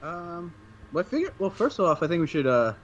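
A man's voice: very animated, a little fast. A man hosting a wrestling podcast in casual, joking chat. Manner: joking, sings the show chant in a loud high voice. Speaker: podcast host. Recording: dull lo-fi sound, faint hiss.

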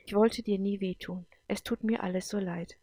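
A woman voicing a sorrowful, guilt-ridden woman in love in an audiobook, soft, earnest and emotional. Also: mid-range voice, steady tone, moderate pace, dry recording, natural voice. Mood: tearful, remorseful.

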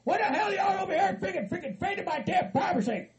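A man voicing an angry, foul-mouthed Southern hillbilly who rants and shouts as if deeply wronged. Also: big pitch swings, fairly quick, gravelly, highs cut off, heavily acted. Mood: irritated, aggrieved. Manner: hot-tempered, shouting, profane.

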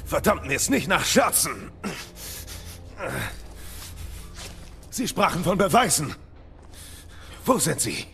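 An actor playing a stern, sceptical Victorian knight in an audio drama, gruff, terse and indignant. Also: male voice, a little high, sing-song, unhurried, a little hiss or hum, exaggerated voice. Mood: angry, demanding. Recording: voice under loud music.

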